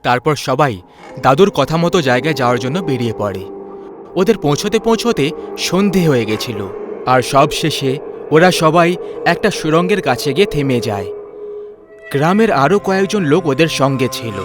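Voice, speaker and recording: A man narrates a scripted horror tale in a fairly high voice. He works as the storyteller, with music clearly playing beneath his voice. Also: animated, moderate pace.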